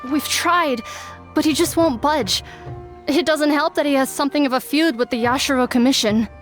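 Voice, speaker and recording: Fretful, high-voiced woman staffer played by an actress. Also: normal speed, faint music behind, natural voice. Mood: worried. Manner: worried, explanatory. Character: fretful, earnest female commission staffer. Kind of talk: video game voice acting.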